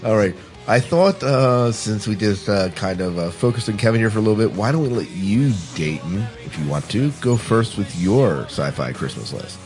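A man hosting a casual panel chat on a podcast, with a husky voice. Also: fairly low pitch, lively intonation, moderate pace, hardly any pauses, a little mellow, soft background music. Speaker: podcast host.

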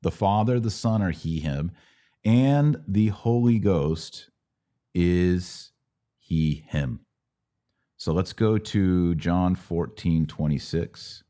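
A man's voice: low-pitched, slow pace, mellow. A man hosting a conversational religious question-and-answer podcast, teaching emphatically and repeating key words for stress. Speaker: podcast host.

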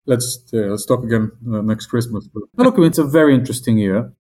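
A middle-aged man from Southern Africa with a non-British accent, answering interview questions as an investment executive in a measured, optimistic, businesslike way. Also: mid-range voice, warm tone. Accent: non-British English accent.

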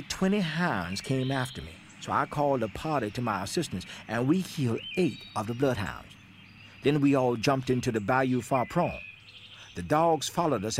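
Man acting young escaped slave, steady testimony, faint noise. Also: medium pitch, lightly acted. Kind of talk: dramatic reading of historical testimony.

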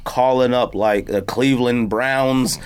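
An American man hosting a podcast in casual chat, telling stories in a slangy, animated way. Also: medium pitch, a little slow, smooth. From United States. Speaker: podcast host. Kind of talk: casual chat about sneakers and hats. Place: podcast studio.

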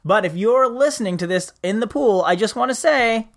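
A man's voice: high-pitched, animated, moderate pace, gravelly, a bit bright. A man hosting a podcast, reading listener feedback with jokey, self-mocking asides. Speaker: podcast host. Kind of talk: scripted podcast segments.

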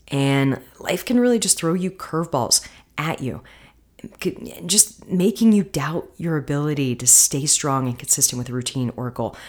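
A woman hosting her podcast, talking casually and encouragingly with a husky voice gone hoarse from singing. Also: a little mellow. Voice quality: husky, deeper than usual. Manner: chatty, encouraging. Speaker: podcast host. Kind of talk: casual solo podcast talk.

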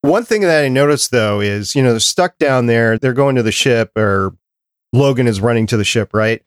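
A man's voice: mid-range voice, expressive, normal speed, clean tone, no room sound. Guy hosting a podcast, casual review talk, asks questions, super dry audio. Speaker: podcast host. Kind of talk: casual panel discussion, reviewing a cartoon.

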